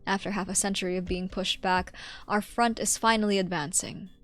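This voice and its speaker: A woman's voice: medium pitch, fairly even intonation, a little fast, faint music behind, lightly acted. A woman voicing a grave, eloquent old male inquisitor in game dialogue, speaking formally and reflectively. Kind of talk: game dialogue read aloud.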